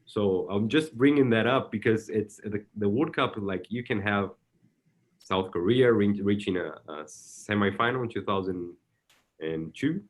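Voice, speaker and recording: Low-voiced Brazilian man with halting speech. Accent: Brazilian Portuguese. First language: Portuguese. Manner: halting, repeats words often while searching for them. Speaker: soccer technical director. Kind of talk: casual interview answers.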